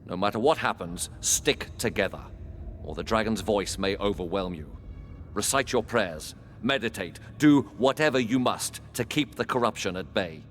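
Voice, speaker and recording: A man's voice: lowish voice, lively intonation, normal speed, fairly bright tone, a little hiss or hum. A man playing a grave, resolute war leader in a fantasy game, giving orders with solemn authority. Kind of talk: dramatic fantasy game cutscene acting.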